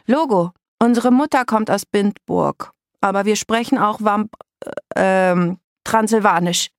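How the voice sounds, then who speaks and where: A woman's voice: mid-range voice, a little slow, no echo, clean studio sound, pitched above the performer's own voice, natural voice. A woman narrator voicing a polite, eager young vampire girl who speaks a little hesitantly in a children's story. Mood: flustered.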